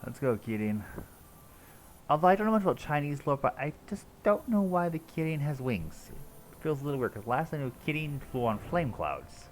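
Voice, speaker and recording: A man's voice: on the high side, very animated, normal speed, a little hiss or hum, low-quality recording. A man livestreaming a video game, reading dialogue aloud and commenting casually. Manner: casual, sweary interjections. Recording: game music behind the voice. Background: video game music.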